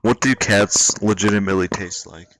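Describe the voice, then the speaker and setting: Deep-voiced monotone male streamer. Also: moderate pace. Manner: monotone, dry. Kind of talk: casual stream commentary, reading aloud. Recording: noisy home stream recording.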